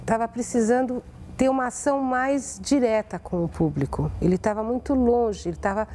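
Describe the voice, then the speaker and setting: A woman's voice: a little high, dark tone, a little hiss or hum. A woman with a Brazilian accent giving spontaneous interview testimony.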